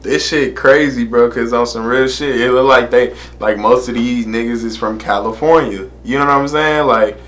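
A man's voice: mid-range voice, normal speed, hardly any pauses, a bit bright. A young man, reacting casually to a music video from a small room and talking into a desk camera. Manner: laid-back, laughs often, repeats "man" and "you know what I'm saying". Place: small room indoors, plain wall behind.

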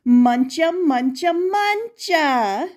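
An older woman with a slightly high voice reads a story to young children. She takes it very slowly, with emphatic, expressive delivery, repeating phrases and inviting listeners to join in. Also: slight background noise. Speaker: grandmother reading picture books to children. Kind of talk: read-aloud storytelling for young children, with interactive questions.

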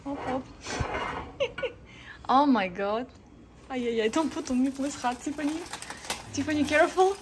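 A woman's voice: high-pitched, measured pace, pauses often. A woman filming in her home kitchen, chatting and exclaiming as she handles a roast turkey. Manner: excited, laughing, exclaiming often. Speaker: vlogger. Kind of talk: casual kitchen chat while filming. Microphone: phone camera held by the speaker. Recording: noisy phone recording.

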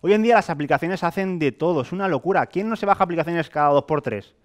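Higher-voiced young Spanish male presenter. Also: quick pace. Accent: Spain Spanish. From Spain. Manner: animated, colloquial, rhetorical questions and acted-out examples. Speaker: sales presenter. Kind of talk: motivational sales presentation to a live audience. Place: hotel meeting room with a small audience, indoors.

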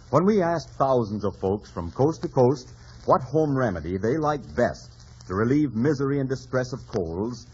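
Animated, husky male radio announcer. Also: mid-range voice. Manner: emphatic, folksy salesman. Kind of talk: 1940s radio advertising read. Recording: dull old radio recording.

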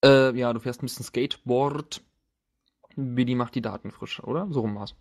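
A man acting as game master, narrating and bantering casually around a tabletop game with halting, hesitant phrasing. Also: medium pitch, fairly even intonation.

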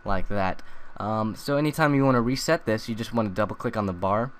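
A young man talking through a software tutorial in a loose, rambling way, full of ums and uhs. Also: lowish voice, brisk, clean tone. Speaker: tutorial maker. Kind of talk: casual screen-recorded tutorial.

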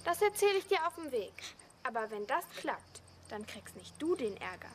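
A bossy young girl in a radio play. Her voice is high and swoops boldly as she goads and schemes, over clear background noise. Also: big pitch swings, moderate pace. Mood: goading, scheming. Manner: bossy, goading. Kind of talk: German radio play acting.

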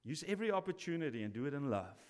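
Pastor guy at the pulpit, high voice, acts out the whole back and forth, churchy reverb. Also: very fast. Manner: animated storytelling, acting out both sides of a conversation. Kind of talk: sermon. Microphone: stand mic at pulpit. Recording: long reverb of a church hall.

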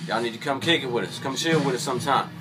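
A man's voice: medium pitch, fast, music in the background. A young man freestyle rapping a boastful, profane flow into a phone camera in a small wood-panelled home bar room at night. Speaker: amateur rapper.

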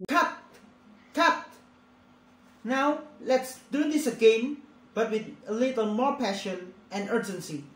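Woman as a curt, highish-voiced film director. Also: slow, pauses often. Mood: exasperated. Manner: curt, commanding. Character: exasperated, bossy film director. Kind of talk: amateur dramatic reading. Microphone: video call. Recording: roomy video-call sound.

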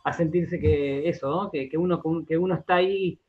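A man's voice: on the high side, normal speed, dull audio. An Argentine man explaining things as an interview guest, repeating words and often checking in with short tag questions. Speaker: radio drama director, interview guest.

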